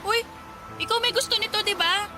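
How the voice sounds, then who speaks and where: A young female friend in a radio drama, very high-pitched, teasing and quick to argue back. Clear music plays underneath. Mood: indignant. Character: Sonia, young woman friend, teasing and blunt.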